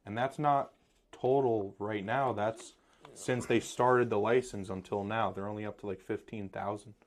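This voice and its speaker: A man's voice: lowish voice, fairly even intonation, moderate pace, slight background noise. A man in his twenties with an Eastern Pennsylvania accent who works for a plumbing company, chatting agreeably and incredulously with fillers. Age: 20s. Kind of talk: casual business talk.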